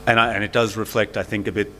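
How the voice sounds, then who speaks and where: A man's voice: fairly low pitch, little pitch movement, fast talker, pushed and bright, a little hiss or hum. A man speaking as a panelist at a conference, thoughtful and hedging as he works through his points. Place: conference hall.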